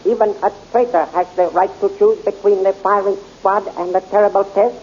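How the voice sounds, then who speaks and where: An actor playing a scheming male high priest in a 1930s radio serial, speaking in a smooth, solemn, ceremonial way, on a muffled old recording. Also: fairly even intonation, a little fast, darkish tone, slight background noise, natural voice.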